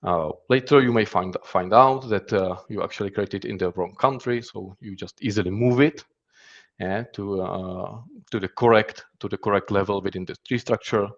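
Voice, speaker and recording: Czech guy doing a conference talk, lowish voice, hesitant and repeats himself, kinda dull muffled sound. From Czech Republic. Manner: hesitant, repeats words and phrases. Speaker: threat intelligence specialist giving a conference talk. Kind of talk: conference presentation with demo.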